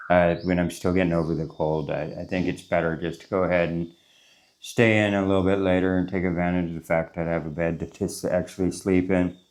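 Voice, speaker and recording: A man in his forties from the United States, congested from a cold, rambling casually through a morning travel vlog with many fillers and restarts, recorded on a lapel mic. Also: low voice. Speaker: travel vlogger. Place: small courtyard room with a concrete wall, indoors. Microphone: clip-on lapel mic.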